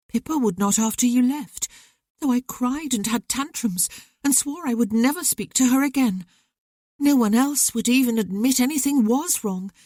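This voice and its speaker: A woman's voice: on the high side, no echo, clean studio sound, pitched above the performer's own voice, lightly acted. A woman voicing an outspoken, sensitive young woman who talks frankly to her brother. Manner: lively, talkative, candid.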